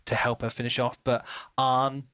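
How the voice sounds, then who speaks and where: A man co-hosting a podcast, talking through a book in a casual, animated retelling style. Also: medium pitch, normal speed, no room sound. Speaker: podcast co-host.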